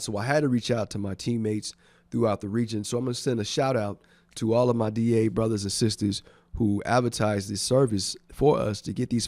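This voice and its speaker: A congested man speaks with a gravelly, mellow voice close to a studio microphone, and the sound is dry with no room echo. He hosts a radio show in an eager, thankful, rambling way. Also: medium pitch. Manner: enthusiastic, grateful, rambling thank-yous. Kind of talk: casual radio shout-outs.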